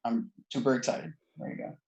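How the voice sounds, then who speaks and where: A man's voice: medium pitch, brisk, creaky, a little mellow, low-quality recording. Eager young man on a call. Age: early 20s. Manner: eager, hesitant. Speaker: university student. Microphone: video call.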